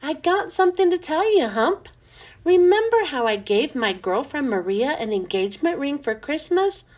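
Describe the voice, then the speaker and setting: Woman reading as a chummy young janitor, lively pitch. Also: moderate pace. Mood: chummy, earnest. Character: friendly young man, night janitor, casual and earnest. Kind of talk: children's storybook read-aloud.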